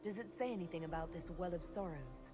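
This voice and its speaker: A woman's voice: lowish voice, expressive, fast talker, natural voice. A woman playing a level-headed adventurer and leader in a fantasy game, speaking in a direct, questioning manner. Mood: curious, probing. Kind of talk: fantasy game dialogue acting. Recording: prominent music behind the voice.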